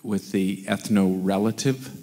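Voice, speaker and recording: A man in his sixties from Canada, speaking from an audience in a halting, searching way. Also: fairly low pitch, dark tone, big-room sound. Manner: hesitant, searching, many fillers and restarts. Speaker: audience member. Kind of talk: comment from the floor. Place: seated in a large auditorium audience. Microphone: handheld mic.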